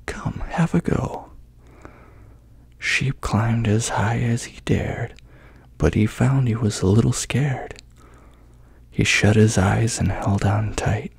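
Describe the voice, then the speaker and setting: Slow, hushed, breathy man reading softly. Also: lowish voice, fairly even intonation, no room sound. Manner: hushed, gentle, often slipping into whispers. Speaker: ASMR host reading a bedtime story. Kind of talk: soft-spoken ASMR storybook reading.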